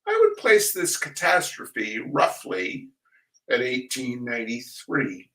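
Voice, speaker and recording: An older man hosting a reading of humorous essays, chatting casually and reading aloud in a wry, theatrical, halting manner. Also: mid-range voice, sing-song, pushed and bright. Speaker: host of a weekly humor reading. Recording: roomy echo, distant microphone.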